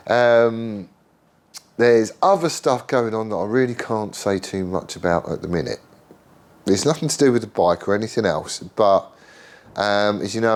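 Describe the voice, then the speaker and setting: A middle-aged man with a head cold, chatting casually and rambling through a workshop update with plenty of ums and local slang. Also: fairly low pitch. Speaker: motorcycle builder and channel host. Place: home workshop.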